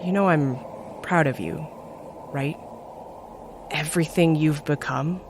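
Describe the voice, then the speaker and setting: An actor playing a calm, teasing companion who lives in someone's head, gentle and unhurried, in an audio drama. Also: mid-range voice, background noise. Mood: tender.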